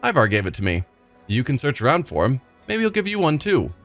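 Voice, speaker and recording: A man's voice: medium pitch, wildly swooping pitch, fairly quick, fairly bright tone. A man voicing a cheerful, simple-minded male village fisherman who rambles and repeats himself proudly. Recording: faint game music behind.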